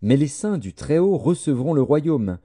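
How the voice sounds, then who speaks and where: A man narrating a scripted Bible audiobook in a solemn, measured, formal way. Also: fairly high pitch, big pitch swings, warm tone.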